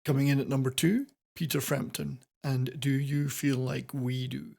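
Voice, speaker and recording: A man's voice: medium pitch, fairly even intonation, normal speed, creaky, a little mellow, faint music behind. A man hosting a semi-scripted countdown commentary on classic rock, with wordy, playfully florid presenter phrasing. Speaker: host of a classic rock video channel.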